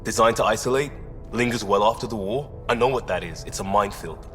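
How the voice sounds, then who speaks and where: A man plays a gruff spaceship crewman in a sci-fi game, fairly low in pitch. The terse, clipped phrases carry a long reverb, with music behind. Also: a little fast, fairly bright tone, lightly acted. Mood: grim. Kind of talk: video game dialogue acting.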